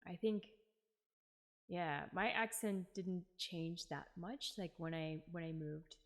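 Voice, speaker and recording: A woman's voice: on the low side, slow pace. A woman from Texas streaming a game, chatting casually and giggling often. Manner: chatty, giggly, full of "like" fillers. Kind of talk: casual game-stream chat and reading aloud. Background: game music.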